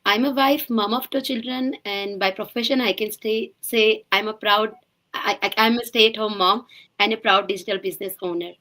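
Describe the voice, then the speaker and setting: A woman's voice: medium pitch, fairly even intonation, moderate pace, sharp tone, rough recording. A woman from Gujarat, a panel guest on a video call, who talks hesitantly. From Gujarat, India. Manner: hesitant, stumbling.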